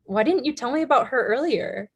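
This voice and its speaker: A woman's voice: high voice, expressive, brisk, breathy edge, full character voice. A young woman in a staged play reading, playing a nosy, evasive girl with a flippant, deflecting manner. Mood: playful, prying.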